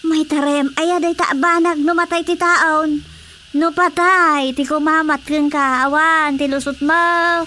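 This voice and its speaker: A woman's voice: high voice, fairly even intonation, unhurried, pushed and bright. An actress playing a stubborn, fearful countrywoman with an Ilocano accent, exclaiming dismissively in colloquial radio drama dialogue.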